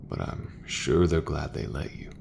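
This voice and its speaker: A man playing an easygoing, folksy sci-fi mechanic in a soft, breathy, reassuring ASMR roleplay voice. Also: extremely low pitch, steady tone, a little hiss or hum, exaggerated voice. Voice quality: gravelly, breathy, half-whispered. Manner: slow, unhurried, reassuring, colloquial. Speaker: voice actor.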